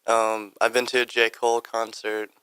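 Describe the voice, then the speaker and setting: A teenage young man with an American accent gives short, easygoing answers in a casual interview. His voice is medium-pitched, bright and very clear, captured in a dry space. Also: completely flat delivery, moderate pace, no echo, poor audio. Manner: brief, easygoing answers with frequent "like". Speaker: intern guest.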